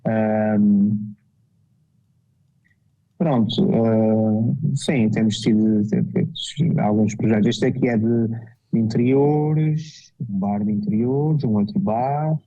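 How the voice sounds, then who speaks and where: Azorean Portuguese man, mellow voice. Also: fairly low pitch, slow pace, highs cut off. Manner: hesitant, with many fillers and restarts. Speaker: guest architect. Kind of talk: casual interview talk.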